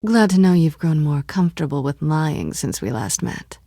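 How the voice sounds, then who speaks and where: Sardonic female thief, video game dialogue, slightly low pitch, breathy husky voice, flirtatious, understated, dry studio sound. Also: expressive, normal speed, warm tone, no room sound. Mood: dry, teasing.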